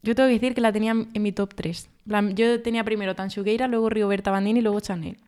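A woman's voice: medium pitch, fairly even intonation, fast talker, a little mellow. A woman co-hosting a casual podcast, laughing often and steering the talk. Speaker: podcast co-host. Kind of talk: casual banter.

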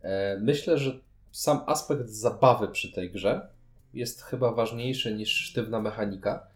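A man's voice: lowish voice, a little slow, echoey room. A man chatting casually as co-host of a board game review show. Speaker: podcast co-host.

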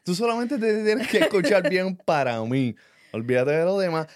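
A man in his thirties with a Puerto Rican accent, co-hosting a podcast and talking in an earnest, reflective way, often pausing on fillers. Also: high voice, no echo, studio quality. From Puerto Rico. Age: 30s. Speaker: podcast co-host. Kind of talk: casual personal chat.

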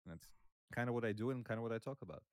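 A man who grew up in Russia, a guest chatting casually on a podcast about old games, talking with many pauses and fillers. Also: mid-range voice, no echo, clean studio sound.

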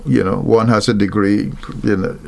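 Gravelly man from Guyana, halting. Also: fairly low pitch, steady tone, darkish tone. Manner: thoughtful, halting, many fillers and restarts. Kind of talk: reflective talk on marriage.

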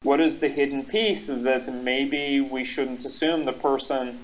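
A man's voice: mid-range voice, unhurried, a little hiss or hum. A man speaking as a guest expert in a thoughtful conversation, reflective and full of ums and restarts. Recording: dull lo-fi audio.

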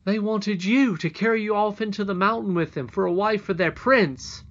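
A woman's voice: medium pitch, moderate pace, dull audio, voice pushed up, natural voice. A woman voicing a brave, humble young miner boy in a storybook, frank, earnest and emphatic. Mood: urgent telling.

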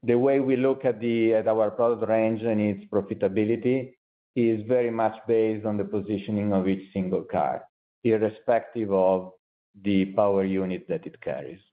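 A man's voice: lowish voice, steady tone, moderate pace. A man speaking as a company executive, giving explanatory answers on an earnings call. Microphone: conference call line. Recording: narrow, tinny phone line.

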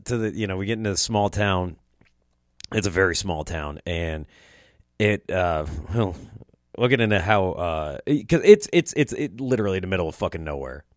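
A man's voice: low voice, no room sound, clean studio sound. A young man hosting a podcast, telling a rambling, profane story in a casual monologue, his throat sore from allergies. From Quad Cities area, US Midwest. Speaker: podcast host.

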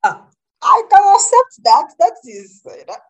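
A woman's voice: very high voice, very animated, unhurried, a bit bright, poor audio. A Nigerian woman in her twenties, a podcast co-host chatting casually and joking with a friend. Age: 20s. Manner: playful, joking, laughs often. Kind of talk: casual chat.

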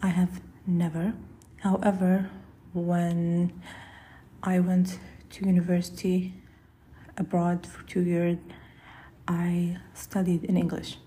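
A young woman whose first language is Arabic, hosting a solo podcast in a soft, often breathy and half-whispered, rambling way. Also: lowish voice, slow, pauses often. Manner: relaxed, rambling, with fillers and restarts. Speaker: podcast host.

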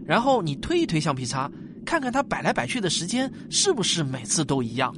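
A man's voice: on the high side, very animated, fairly quick, noisy background. An actor playing a patient father in a science fiction radio drama, explaining things to a child in a coaxing, teacherly way. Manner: coaxing, teacherly, many softening particles.

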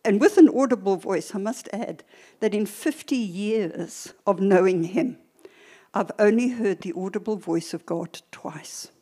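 Slow, deliberate older woman preaching. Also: medium pitch, mellow, husky. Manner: deliberate, emphatic, with short pauses and repetition. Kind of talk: church sermon teaching.

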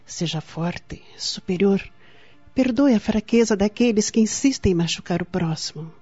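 A woman playing a gentle young spiritist healer in a radio soap opera, giving measured, solemn counsel. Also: medium pitch, expressive, breathy edge, dry recording. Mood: solemn, consoling. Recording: dull lo-fi audio with music.

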